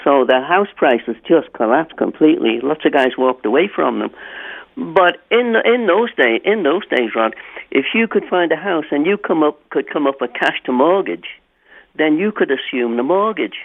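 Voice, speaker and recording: A man's voice: on the high side, expressive, gravelly. Older man calling in over a tinny phone line. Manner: halting, with many restarts and fillers. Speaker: caller. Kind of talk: call-in radio talk.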